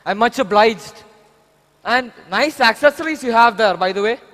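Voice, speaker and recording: Man playing a high-voiced courteous lawyer. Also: lively intonation, normal speed, a bit bright. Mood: courteous, smug. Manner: formal, courteous, composed. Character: polite, smug defence lawyer. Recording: echoey hall, stage play.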